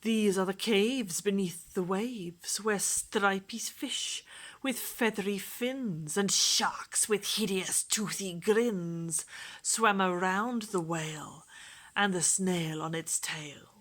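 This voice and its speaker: A woman reading a children's rhyming picture book aloud in a put-on, lilting Welsh accent, gentle and rhythmic, often dropping to a soft whisper. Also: medium pitch, slow pace. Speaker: storytime reader.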